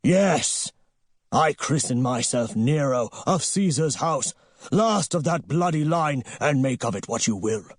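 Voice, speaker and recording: A man's voice: medium pitch, animated, a little slow, no room sound, studio quality, pitched above the performer's own voice. A man voicing a grandiose, defiant old tycoon in an audiobook, with declamatory, theatrical delivery. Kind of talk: audiobook character voice.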